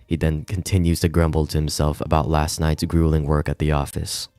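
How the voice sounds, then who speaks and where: A man's voice: a bass voice, level tone, a little fast, a little mellow, clean tone, studio quality. A man reading a visual novel aloud on a casual stream, stumbling now and then and tossing in giggly asides. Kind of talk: casual livestream read-along with reactions. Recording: roomy echo, music behind. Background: music.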